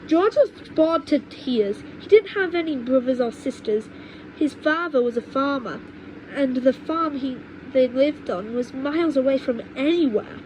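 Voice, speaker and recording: A woman narrating a children's storybook aloud, halting now and then to reread and correct her words on a low-quality home microphone. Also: darkish tone, a little hiss or hum. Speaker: audiobook reader. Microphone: cheap home microphone.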